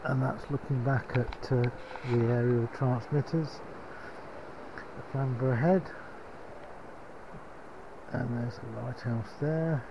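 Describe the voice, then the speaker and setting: A gravelly-voiced man chats his way along a clifftop, pointing things out as he walks. The camera audio is dull and muffled, and steady wind noise runs under every word. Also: mid-range voice, slow pace, pauses often. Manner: chatty, points out sights as he walks. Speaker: vlogger filming a coastal walk. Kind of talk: casual running commentary. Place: outdoors on a windy clifftop. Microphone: handheld action camera.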